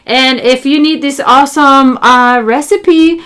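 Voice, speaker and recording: A woman hosts a casual how-to video and speaks warmly and directly to the people watching. Her voice sits a bit high for a woman, and she explains ideas in plain, simple terms. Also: measured pace. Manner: warm, encouraging, talks directly to viewers. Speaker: channel host. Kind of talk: casual how-to vlog chat.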